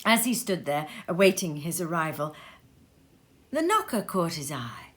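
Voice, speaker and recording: A woman reading a classic tale aloud at home in her sitting room, telling it in a lively, expressive way. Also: deep voice, measured pace. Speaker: reader.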